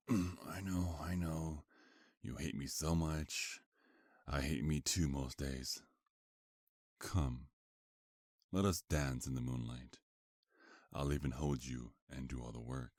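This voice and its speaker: A man playing a seductive, possessive vampire mafia boss in an ASMR roleplay, with a taunting, drawn-out, intimate murmur. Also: rumbling low, steady tone, a little mellow, no echo, studio quality. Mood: coaxing.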